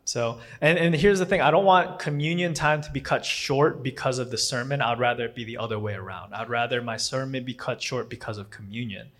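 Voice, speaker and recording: A man's voice: mid-range voice, fairly quick, hardly any pauses, fairly bright tone. A man, not a native English speaker, preaching a casual sermon in a rambling way, often stopping to correct himself. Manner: rambling, self-correcting, with frequent fillers and restarts.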